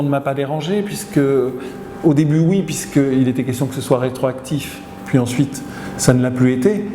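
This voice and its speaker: A middle-aged man speaks at an ordinary mid pitch, answering interview questions as a company chief. He pauses and hesitates often as he works through his sentences. His voice rings out with a long tail of reverb in a big echoey room, with steady background noise behind him. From Tunisia. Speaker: company CEO.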